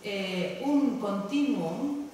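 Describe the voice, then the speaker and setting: Woman panelist, Basque Country Spanish accent, halting academic delivery full of fillers; distant mic in a reverberant lecture hall. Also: mid-range voice, expressive, darkish tone, slight background noise. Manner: hesitant, many fillers, reads out quotes. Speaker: panelist presenting research. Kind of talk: academic conference talk. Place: large university lecture hall. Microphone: table mic, far camera. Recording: echoey hall from far off.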